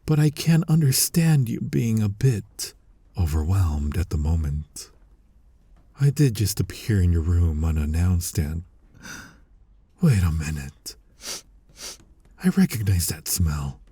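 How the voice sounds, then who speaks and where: A man playing a flirty, exasperated incubus demon in an intimate ASMR roleplay, husky and breathy with a teasing, sardonic manner, often slipping toward a whisper. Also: low-pitched, sing-song, mellow, dry recording, exaggerated voice. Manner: slow, teasing, sardonic delivery. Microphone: close, dry studio-style microphone.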